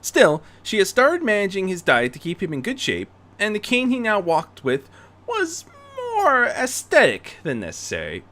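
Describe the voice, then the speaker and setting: Male story narrator for fan fiction, bright, forceful delivery with extremely lively melodic swings. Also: high voice, moderate pace. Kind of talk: expressive scripted story narration.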